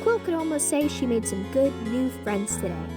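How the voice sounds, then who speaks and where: A woman's voice: high-pitched, lively intonation, normal speed, over music. A young adult woman reading scripted narration for an audio drama.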